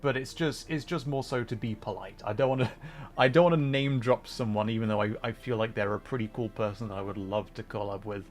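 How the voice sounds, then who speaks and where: A man's voice: lowish voice, steady tone, brisk. A man hosting a livestream, chatting casually between bits of game commentary in a hesitant, thoughtful, self-effacing way. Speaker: livestream host, VTuber gamer.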